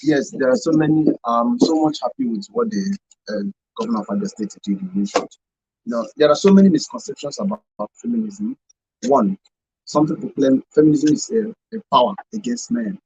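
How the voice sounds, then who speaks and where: A Nigerian man explains his points emphatically in a radio discussion. His voice is creaky and husky, with a very lively pitch that rises and falls. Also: brisk, no room sound, highs cut off. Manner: emphatic, repeats himself. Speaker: radio show panelist. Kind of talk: explanatory talk show discussion.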